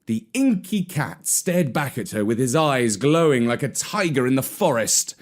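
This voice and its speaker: A man narrating a scripted children's story in an expressive, deliberate way. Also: medium pitch.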